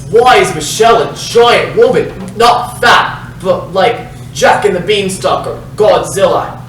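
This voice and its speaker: A man's voice: high voice, animated, a little slow, a bit bright. A man playing a naive, lovestruck stage lover in a hammy, earnest comic reading. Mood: bewildered. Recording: echoey room from far off, faint noise.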